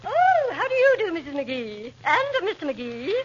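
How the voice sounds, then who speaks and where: Very high-voiced haughty society matron. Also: female voice, huge pitch swings, moderate pace, a little hiss or hum, exaggerated voice. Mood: haughty, polite. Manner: affected, pompous, over-polite. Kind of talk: 1940s radio comedy acting. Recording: muffled vintage radio recording.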